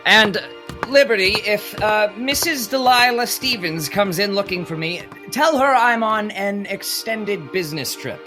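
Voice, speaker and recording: Audio drama casting: fussy, cowardly male mill owner, highly animated, melodramatic, music behind. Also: unhurried. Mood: sheepish, nervous.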